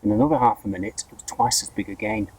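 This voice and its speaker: A man reading a children's story aloud in an expressive narrating style. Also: lowish voice, fast, breathy edge, rough recording. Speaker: storybook reader, school staff member.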